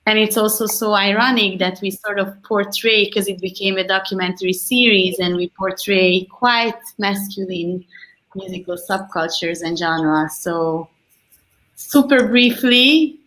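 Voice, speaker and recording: A woman's voice: medium pitch, measured pace, a bit bright, rough recording. A woman from Hungary, a documentary filmmaker chatting loosely and searching for words as she answers on a video call. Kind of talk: panel Q&A answer.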